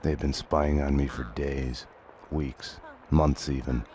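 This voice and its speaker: An actor playing a brooding, world-weary police detective, narrating a noir game in a grim deadpan. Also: male voice, measured pace, a little hiss or hum. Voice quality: very deep voice. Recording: clear music under the voice.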